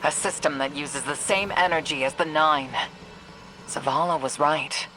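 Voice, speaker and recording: An actor playing a steady, wary mission handler who briefs a soldier in a dry, serious way in a video game. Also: male voice, a little high, moderate pace, background noise, natural voice. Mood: wary, analytical.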